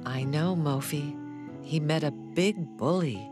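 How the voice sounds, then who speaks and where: An actor voicing a wise, soothing moon in a children's cartoon, with a measured, reassuring manner. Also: mid-range voice, natural voice. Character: calm, wise, soothing cartoon moon, little brother's confidant. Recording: music playing behind the voice.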